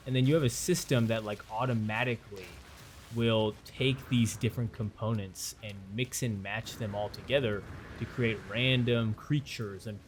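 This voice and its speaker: A young man chatting casually while playing a video game, rambling and self-deprecating and losing his train of thought, with soft game music behind him. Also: on the low side. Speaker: gaming video host. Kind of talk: casual sleepy gameplay commentary.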